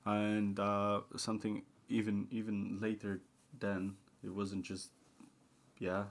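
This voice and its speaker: A young man chatting casually on a podcast, rambling with many fillers and restarts, his voice jumping from excited and high to quiet and low. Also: takes it slowly. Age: young adult. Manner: rambling, repeats himself, often says like and uh; swings between excited high pitch and quiet low mumbling. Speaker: podcast guest. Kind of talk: casual chat.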